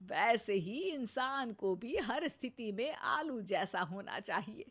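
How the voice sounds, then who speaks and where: A woman's voice: fairly high pitch, animated, slow, fairly bright tone, full character voice. A woman playing a gluttonous, dramatic elderly mother-in-law in a comic cartoon, whining theatrically. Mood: delighted.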